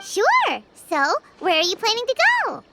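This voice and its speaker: A woman voicing a tiny, childlike fairy companion in a video game, squeaky, perky and confident. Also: huge pitch swings, measured pace, heavy background noise, full character voice. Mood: casual. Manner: perky, speaks of herself in third person. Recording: loud music behind voice.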